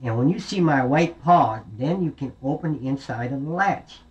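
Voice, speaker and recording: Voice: mid-range voice, animated, moderate pace, highs cut off, natural voice. A storyteller voicing an anxious, caring mother goat who warns her children with old-fashioned words. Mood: warning. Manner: fussy, warning, old-fashioned speech.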